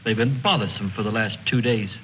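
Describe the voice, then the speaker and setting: An actor playing a dutiful junior military aide in an old war film drama. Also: male voice, on the low side, fairly quick, a little hiss or hum, close to an everyday voice. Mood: deferential. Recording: dull old film soundtrack, music.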